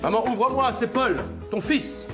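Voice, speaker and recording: A man's voice: extremely high pitch, moderate pace. An actor in his fifties playing a gloomy, cantankerous son who grumbles and stammers when asking for money. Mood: grumpy. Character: gloomy, cantankerous middle-aged son, broke. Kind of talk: film dialogue. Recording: film soundtrack with loud music underneath.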